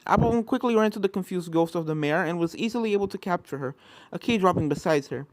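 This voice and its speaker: A woman's voice: lowish voice, quick pace, no echo, low-quality recording. A woman reading a scripted fan fiction story as narrator, with spooky video game music behind her.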